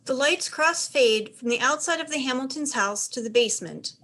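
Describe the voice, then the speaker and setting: A woman's voice: high-pitched, moderate pace, sharp tone. A woman reading stage directions as narrator for a dramatized script reading, in scripted narration.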